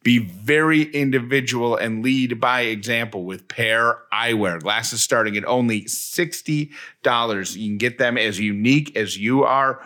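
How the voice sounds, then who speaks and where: A man's voice: mid-range voice, measured pace, bright, forceful tone. A middle-aged man co-hosting a radio show, moving between casual banter and host-read material.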